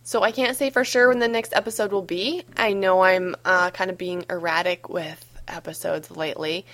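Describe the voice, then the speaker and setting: A woman with a slightly low voice hosts a solo gardening podcast. A cold has left her a little hoarse. She chats casually and easily, often pausing on an um. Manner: relaxed, chatty, with frequent ums. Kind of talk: solo podcast advice talk.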